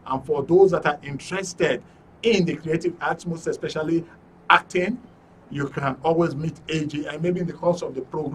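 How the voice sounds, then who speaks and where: A man with a Nigerian accent hosts a live studio talk show, announcing in a deliberate, explanatory way. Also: fairly high pitch. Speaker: radio and TV show host. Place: radio and TV studio. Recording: distant microphone with faint background noise.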